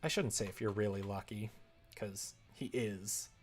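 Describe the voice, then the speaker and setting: A man chatting casually on a livestream, thinking out loud in an informal, rambling way. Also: lowish voice, unhurried, faint music behind. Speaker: streamer writing a visual novel.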